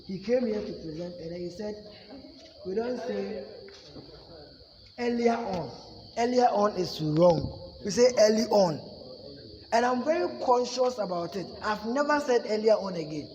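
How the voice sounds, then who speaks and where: A man's voice: high voice, expressive, measured pace, pauses often, a little mellow, a little hiss or hum. A man with a Ghanaian accent teaching a public speaking class in an emphatic way, often asking "you see?". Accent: Ghanaian English. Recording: echoey room with long reverb.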